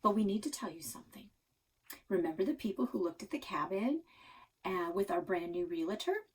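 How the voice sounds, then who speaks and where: A woman's voice: mid-range voice, animated, normal speed, a little mellow, breathy edge. A woman voicing a cautious, practical, hesitant mother in a children's storybook. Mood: explaining.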